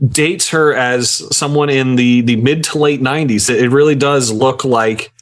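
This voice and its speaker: A man on a podcast panel, explaining his points casually in a measured way. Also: medium pitch, fairly even intonation. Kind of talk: casual discussion.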